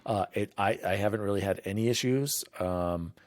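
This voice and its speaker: A man with a fairly low voice chats about games on a podcast, rambling and restarting his sentences in quick bursts. His voice is recorded close and dry, with no room sound. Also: no echo. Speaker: podcast host. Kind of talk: casual gaming podcast chat.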